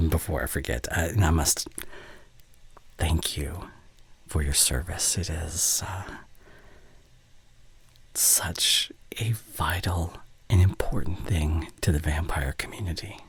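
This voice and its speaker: A man's voice: very deep voice, slow pace, long pauses, husky, exaggerated voice. A man voicing an ancient, courtly, besotted vampire in a formal, hesitant, half-whispered ASMR roleplay monologue, close on the mic. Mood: grateful, sheepish. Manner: formal, old-fashioned, hesitant phrasing. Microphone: close studio condenser mic.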